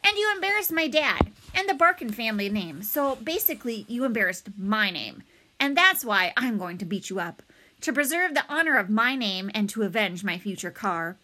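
A woman's voice: fairly high pitch, animated, normal speed, sharp tone. A woman voicing a boastful, menacing schoolboy bully in a children's storybook, with emphatic, pompous delivery. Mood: menacing, smug. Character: boastful, menacing schoolboy bully, self-righteous rule follower.

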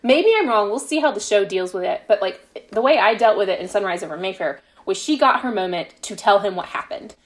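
A woman's voice: mid-range voice, animated, fairly quick, hardly any pauses. A woman being interviewed as a guest author, talking casually and explaining things in a tentative, thinking-aloud way. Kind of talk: casual podcast interview chat.

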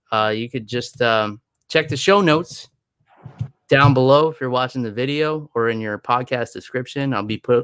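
A man's voice: fairly low pitch, fairly bright tone, clean tone, no echo. A man co-hosting a podcast in easygoing, rambling chat, laughing often. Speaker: podcast co-host.

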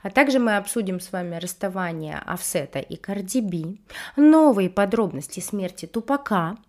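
A woman's voice: mid-range voice, animated, normal speed, a little mellow. A woman hosting a music news podcast in a casual, slangy way, her voice nasal from a cold, swearing freely.

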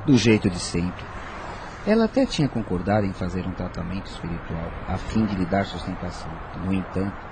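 A man's voice: deep voice, animated, a little fast. A man playing a worried, guilt-ridden husband and father, earnest and troubled, in a radio soap opera. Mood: guilty, anguished. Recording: noisy audio with ambient sound.

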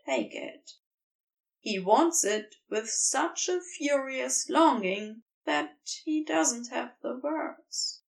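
A woman narrating a story from a script in an expressive storytelling style. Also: high voice, slow pace, vocal fry, few pauses, far from the mic, poor audio. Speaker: podfic narrator.

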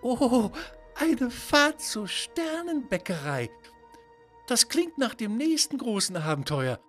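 A man voicing an excitable, giggly little girl astronaut full of wonder in a children's audio story, with a squeaky voice. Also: slow, faint music behind, exaggerated voice. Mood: delighted. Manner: giggly, exclaiming.

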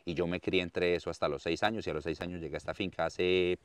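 A man in his thirties with a Colombian accent from Santander, talking as the interviewee about his life in earnest, expressive stories and often repeating words for emphasis. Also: deep voice, fast, clear, resonant tone, dry recording. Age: 30s. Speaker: interviewee, farmer and content creator. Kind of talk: conversational storytelling interview.

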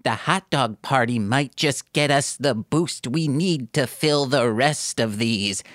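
Woman as a creepy alien rink guy, sing-song, slow, lowish, dry close sound, comedy read. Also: no room sound. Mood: smooth scheming. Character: creepy, doting space-alien man running roller rink.

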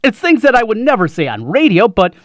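Halting, high-voiced radio co-host man. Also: huge pitch swings, dry recording, clean studio sound. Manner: halting, fillers and restarts, agreeable. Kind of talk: casual radio chat.

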